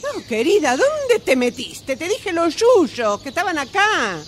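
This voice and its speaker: A woman's voice: extremely high pitch, sing-song, unhurried, a little hiss or hum, full character voice. A woman with a Rioplatense Argentine accent acting in an amateur radio play as an exasperated, bossy friend on a hike, impatient and nagging. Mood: exasperated calling out.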